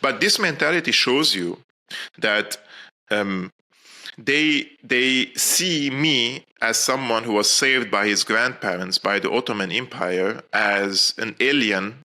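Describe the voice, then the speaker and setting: Syrian-born guy on a podcast, super bright edgy voice, earnest, keeps restarting. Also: mid-range voice, takes it slowly. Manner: earnest, explanatory, many fillers and restarts. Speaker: podcast guest. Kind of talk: interview answers.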